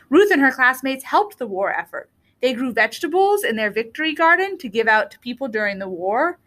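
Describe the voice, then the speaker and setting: A woman reading a children's book aloud as a storyteller, with deliberate, expressive phrasing. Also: high-pitched. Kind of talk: read-aloud storybook narration.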